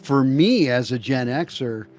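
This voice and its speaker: A man's voice: medium pitch, animated, slow, darkish tone, faint music behind. A man of fifty-three co-hosting a casual livestream, speaking earnestly and checking in with his listener. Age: 50s. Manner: earnest, checks in with "okay?" and "right?". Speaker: livestream co-host. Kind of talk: casual chat.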